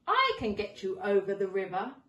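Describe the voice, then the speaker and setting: A woman voicing a sly, falsely reassuring male fox in a storybook, coaxing in drawn-out phrases. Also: mid-range voice, huge pitch swings, sharp tone, dull audio. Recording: roomy, distant microphone.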